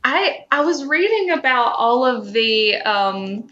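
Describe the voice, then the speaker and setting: A young woman co-hosting a podcast in casual chat, with hesitant, halting phrasing. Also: on the high side, big pitch swings, bright, forceful tone, dull audio. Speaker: podcast co-host.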